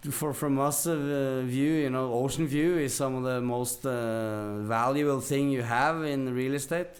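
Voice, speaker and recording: A man's voice: mid-range voice, fairly even intonation, unhurried. Man, Norwegian accent, mid-range voice, casual storyteller, rambling, blunt, dry humor, podcast guest. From Norway.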